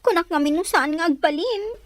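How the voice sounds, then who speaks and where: A woman's voice: extremely high pitch, fairly quick. A woman playing a worried young daughter in a serial family drama. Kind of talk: Ilocano radio-style serial drama acting.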